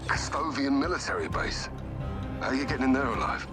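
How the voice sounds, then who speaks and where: An actor playing a blunt veteran army captain in a video game cutscene, giving terse military orders over a music score. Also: male voice, medium pitch, expressive, brisk, lightly acted. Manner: terse military radio talk. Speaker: squad captain.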